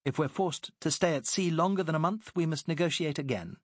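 A man voicing a poised British woman working as a secret agent, speaking crisply and persuasively. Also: fairly high pitch, brisk, no echo, clean studio sound, voice pushed up, lightly acted.

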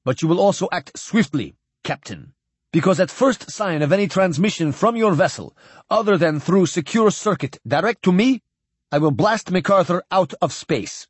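A man playing a stern, cold admiral in an audiobook, speaking formally and flatly with unyielding authority. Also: high voice, normal speed, breathy edge, no room sound, voice pushed up. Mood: cold, commanding. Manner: flat, formal, clipped diction. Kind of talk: audiobook character dialogue.

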